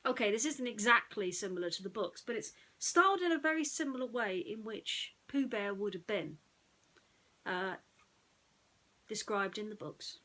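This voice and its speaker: A woman's voice: mid-range voice, lively intonation, moderate pace, sharp tone. A woman storyteller narrating a bedtime story in a chatty way, putting questions to her listeners. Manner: addresses listeners with rhetorical questions.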